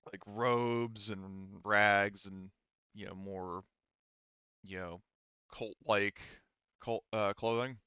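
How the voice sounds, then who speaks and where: A man working as game master, narrating a tabletop roleplaying scene in a halting way, thinking aloud and rephrasing as he goes. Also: fairly low pitch, bright, forceful tone, clean tone, no room sound, highs cut off.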